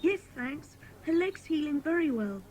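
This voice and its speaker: A woman's voice: high voice, expressive, measured pace, a little mellow. A woman playing a timid, earnest young British runaway boy in a dramatised children's audiobook. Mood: friendly. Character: Jeff, frightened, grateful young runaway boy.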